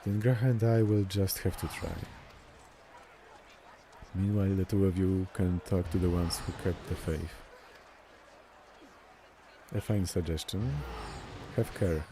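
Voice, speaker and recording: A man's voice: low voice, fairly even intonation, unhurried, long pauses, noisy background. A man reading game dialogue aloud as a streamer, sometimes stumbling over words and repeating them, with game music behind him.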